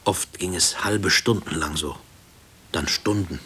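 Haunted former prisoner, middle-aged, very low husky voice, hushed, introspective, radio drama monologue. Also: male voice, unhurried, heavily acted. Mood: uneasy, remembering. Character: haunted male former prison inmate, brooding family man. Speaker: narrator and lead character of a radio play. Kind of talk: brooding first-person radio drama monologue.

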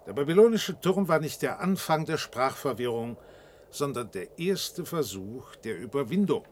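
Actor as an animated, formal reservation guide. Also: male voice, medium pitch, normal speed, slight background noise, natural voice. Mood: earnest, explanatory. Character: earnest male reservation dweller, old-fashioned, proudly explanatory guide. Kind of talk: German science-fiction radio drama acting.

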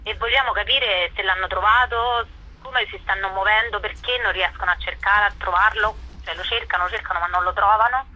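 A woman's voice: medium pitch, steady tone, a little fast, sharp tone. A woman chatting casually as an interview guest over the telephone, talkative and repeating herself. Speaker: theatre company member, interview guest. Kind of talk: casual phone interview chat. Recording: dull, lo-fi telephone line.

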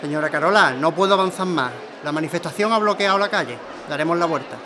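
A man's voice: fairly high pitch, quick pace, natural voice. An actor playing a worried, deferential male driver to a rich lady in an audio drama. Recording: noisy street crowd behind.

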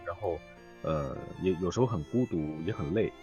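Low, halting man with very varied pitch. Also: expressive, a little mellow, highs cut off, soft background music. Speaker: voice actor, interview guest. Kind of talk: casual live interview chat.

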